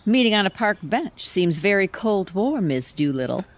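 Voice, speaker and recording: A woman's voice: mid-range voice, very animated, moderate pace. A woman playing a dry, conspiratorial reporter in an audio theatre comedy.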